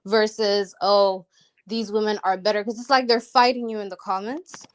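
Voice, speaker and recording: A woman's voice: mid-range voice, steady tone, normal speed, clear, resonant tone. A young Kenyan woman calling in to a chat show, talking casually with many ums and emphatic asides. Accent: Kenyan, sounding American to listeners. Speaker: caller.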